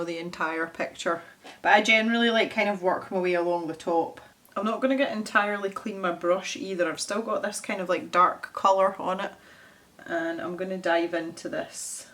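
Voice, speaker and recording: A woman's voice: on the low side, a bit bright. Chatty Scottish woman painting and talking. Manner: chatty, self-mocking, thinking aloud. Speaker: art channel host. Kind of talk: casual chat while painting.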